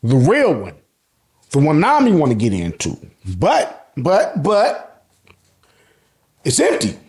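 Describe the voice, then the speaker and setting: An American man giving an excited, slangy fan recap, emphatic and repeating phrases for effect, with casual swearing. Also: fairly high pitch, takes it slowly. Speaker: host of an anime and manga review channel.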